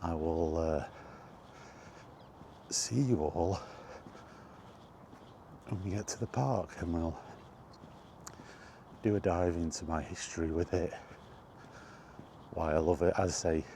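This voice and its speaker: A middle-aged man giving a casual, rambling chat as he walks outdoors, in an unhurried, offhand manner. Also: deep voice, warm tone. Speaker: video game vlogger. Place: outdoors, walking toward a park. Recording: outdoor walking recording with background noise.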